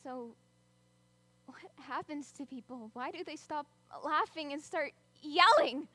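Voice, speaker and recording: A woman's voice: sits high, unhurried, dry recording. A woman playing a bitter lockdown teen. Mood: wistful. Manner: wry and bitter, with quiet brooding stretches and sudden outbursts. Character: teenager stuck at home with fighting parents. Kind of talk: dramatic monologue.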